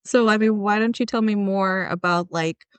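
A man's voice: high voice, normal speed, a bit bright, clear, resonant tone, no room sound. A man hosting a podcast, asking loose, encouraging questions in casual chat. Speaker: podcast host. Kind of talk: casual interview.

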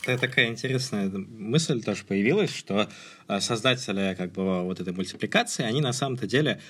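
A man co-hosting a podcast, talking casually and haltingly about film, groping for words in colloquial phrases. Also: on the low side, expressive, fairly bright tone, husky. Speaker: podcast host.

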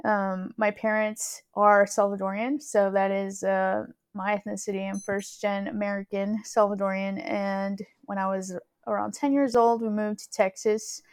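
A woman's voice: mid-range voice, little pitch movement, normal speed, hardly any pauses, smooth. A woman in her twenties co-hosting a casual podcast, chatty with frequent laughs and fillers. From California. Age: 20s. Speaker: podcast co-host and interviewee. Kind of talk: casual conversational interview.

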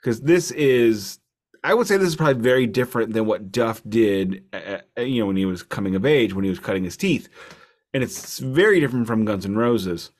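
A man co-hosting a podcast, casually discussing albums in a wry, deliberate way, repeating phrases for emphasis. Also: fairly low pitch, lively intonation. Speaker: podcast co-host reviewing albums.

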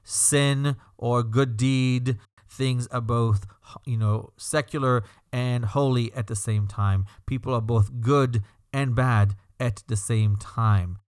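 Rabbi with a slight Belgian accent. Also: male voice, mid-range voice, slow pace, hardly any pauses, smooth, no room sound, studio quality. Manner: calm, teaching tone with rhetorical lists. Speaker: podcast host and rabbi. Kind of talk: reflective podcast monologue.

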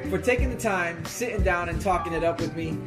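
A man's voice: high-pitched, brisk, vocal fry. A man hosting a podcast, signing off in an upbeat, promo-style way. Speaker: podcast host. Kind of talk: casual chat and show sign-off. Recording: music playing behind.

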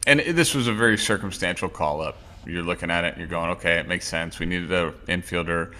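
A man's voice: low-pitched, fast, sharp tone, a little hiss or hum. A man co-hosting a casual sports podcast, opinionated and rambling with restarts. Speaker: podcast co-host.

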